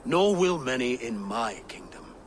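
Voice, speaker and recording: An actor playing a grateful, weary middle-aged king and father in a game cutscene, measured, solemn and formal. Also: medium pitch, sing-song, slight background noise. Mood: solemn, resolute. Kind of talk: video game cutscene acting.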